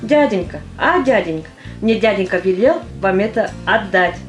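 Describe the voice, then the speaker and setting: A woman's voice: mid-range voice, animated, normal speed, soft background music, lightly acted. A woman playing a naive, somewhat baffled young army girl recalling service in an amateur audio play.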